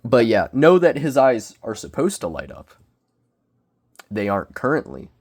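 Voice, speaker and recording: A young man with a cold, sniffling as he reviews a collectible figure in casual, self-deprecating, excitable rambling. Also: medium pitch, moderate pace, slightly rough. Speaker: toy reviewer. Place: small bedroom.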